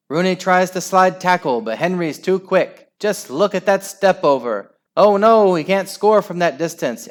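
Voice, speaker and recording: A man's voice: sits high, voice pushed up. A man doing a frantic boy football commentator. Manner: frantic, excited, shouting.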